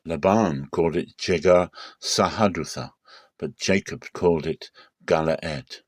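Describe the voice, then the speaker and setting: Low-pitched man narrating scripture. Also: measured pace, a little mellow, slightly rough, no room sound, clean studio sound. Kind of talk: dramatic Bible reading, scripted narration.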